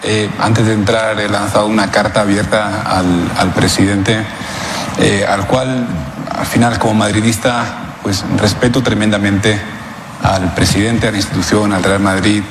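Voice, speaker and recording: A man from Spain with a lowish voice answers questions in a reverberant, noisy conference venue. He speaks spontaneously, choosing words diplomatically, with hesitations and repeated phrases. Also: flat delivery, unhurried. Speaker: businessman answering press questions. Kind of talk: spontaneous public remarks. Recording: echoey room, clear background noise.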